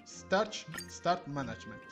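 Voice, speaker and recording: A man hosting a gaming video, commenting casually and reading game text aloud a bit haltingly. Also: on the high side, sing-song. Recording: game music clearly behind the voice. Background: video game music.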